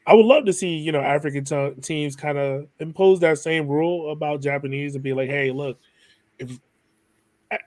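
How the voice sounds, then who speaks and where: Higher-voiced man hosting casually. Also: moderate pace, darkish tone, no echo. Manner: informal, enthusiastic. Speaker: podcast host. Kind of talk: casual chat.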